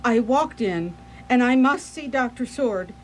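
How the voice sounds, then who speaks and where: A woman's voice: a little high, animated, measured pace, a little hiss or hum, rough recording. A woman playing an anxious, devoted young wife in a radio play, genteel and pleading. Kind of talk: radio theatre acting.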